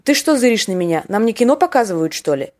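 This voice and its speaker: A woman's voice: on the high side, very animated, fast, darkish tone, faint music behind. Wry woman hosting a language podcast. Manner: wry, playful, acts out short example dialogues. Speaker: podcast host teaching Russian slang. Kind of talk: scripted educational podcast narration.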